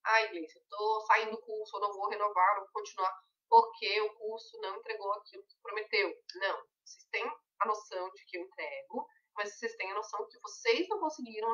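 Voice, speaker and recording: A woman's voice: mid-range voice, fairly quick, pushed and bright, far from the mic, highs cut off. A woman leading a weight-loss mentoring session, talking conversationally and explaining things with everyday examples.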